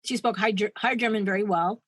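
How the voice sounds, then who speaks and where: A woman's voice: medium pitch, a little fast, fairly bright tone, no echo. Woman on a podcast chatting thru a true crime story, goes off on tangents, laughs a lot, dry close sound. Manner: chatty, digressive, laughs at her own asides. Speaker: podcast co-host.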